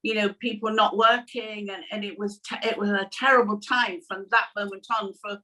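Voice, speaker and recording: Older English female co-host, sharp bright tone, earnest panel conversation, picked up from a little distance. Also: mid-range voice, steady tone, normal speed. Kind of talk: casual panel chat. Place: room at home, indoors. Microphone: video call. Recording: distant mic on video call.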